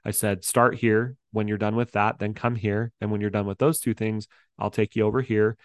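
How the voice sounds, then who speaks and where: A fairly low-voiced man talks as a life coach, emphatic and upbeat, leaning hard on key words. The sound is dry and close, with no room around it. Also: flat delivery, normal speed, no echo. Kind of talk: interview guest, motivational talk.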